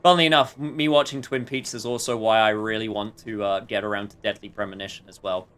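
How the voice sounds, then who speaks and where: A man's voice: lowish voice, fairly even intonation, fast, fairly bright tone, clear, resonant tone. A man doing casual, rambling, jokey live commentary while streaming a game.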